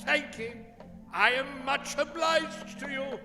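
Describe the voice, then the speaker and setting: A man's voice: very high voice, slow, gravelly, heavily acted. A man acting a reformed old miser in a radio play, giddy, generous and effusive, with formal old-fashioned exclamations. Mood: merry, courteous. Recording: long reverb, music behind.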